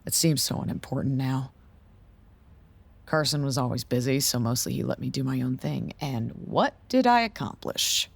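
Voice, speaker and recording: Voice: medium pitch, gravelly, no room sound. An actor in an audio drama playing a weary, guilt-laden scientist and acting site director, dry and resigned.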